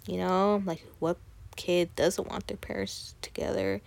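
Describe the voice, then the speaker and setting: A young woman in her twenties hosts her own podcast, talking casually in a chatty, easygoing way. Her voice sits fairly low with a gravelly, fry-laden edge. She, and the recording is dry and close. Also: steady tone, unhurried, darkish tone, no echo. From Texas, USA. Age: 20s. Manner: chatty, conversational, with frequent fillers and restarts. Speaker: podcast host. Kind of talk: casual student podcast monologue.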